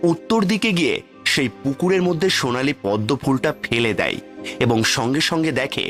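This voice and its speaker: A man narrating a cartoon fairy tale in steady, plain scripted storytelling. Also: mid-range voice, normal speed. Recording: faint background music. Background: faint music.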